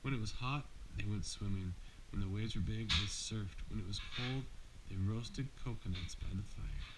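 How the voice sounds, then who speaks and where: Low-voiced dad reading, noisy recording. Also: male voice, normal speed. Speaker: father reading to his child. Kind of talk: bedtime storybook read-aloud. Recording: noisy amateur home recording.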